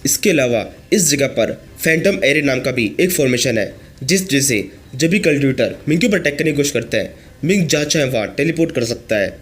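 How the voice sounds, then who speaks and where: A hoarse man narrating a comic story recap as the channel's host. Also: medium pitch, expressive, fairly quick. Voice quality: husky.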